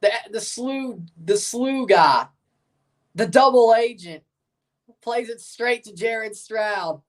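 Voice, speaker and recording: A woman's voice: a little high, slow. A woman hosting a livestream watchalong, giving casual live football commentary and chatting with viewers, often repeating and correcting herself.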